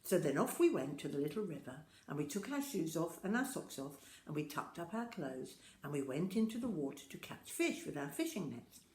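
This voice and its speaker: An older woman tells a children's story in a fairly low voice. Her pitch moves up and down in a very lively way as she addresses little listeners directly. The room adds a noticeable echo. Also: slight background noise. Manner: addresses young listeners directly, deliberate and expressive. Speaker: storyteller reading aloud to children. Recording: roomy, echoing home-style recording.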